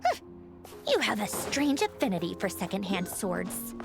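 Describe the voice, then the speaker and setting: A breezy woman engineer in a video game, with very animated pitch swings. She is teasing, theatrical and sure of her authority, with music playing behind her. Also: moderate pace, gravelly.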